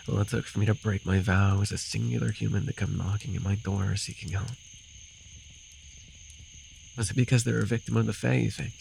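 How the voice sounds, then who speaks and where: A man playing a gruff but caring, easily flustered fantasy forest guide in an immersive ASMR roleplay, speaking hesitantly and soothingly. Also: on the low side, darkish tone, slight background noise, rough recording. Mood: wistful, musing. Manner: hesitant, soothing, sighing, teasingly defensive.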